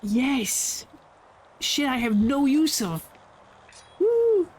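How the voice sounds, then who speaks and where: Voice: sits high, big pitch swings, drawn-out words, a little mellow, slight background noise. A young adult gamer talking loosely over gameplay, rambling, laughing often and swearing casually. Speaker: gameplay video creator.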